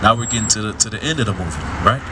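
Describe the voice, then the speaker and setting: A man's voice: lowish voice, rapid-fire. A man preaching on the street to a passerby, lecturing and asking "right?" over and over. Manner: lecturing, asks "right?" again and again. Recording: street noise, mic at a distance.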